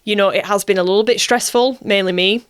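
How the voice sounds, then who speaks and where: A woman's voice: medium pitch, fast talker, clean tone. A woman speaking casually and reflectively as an interview guest, with an earnest, effusive, emotional manner.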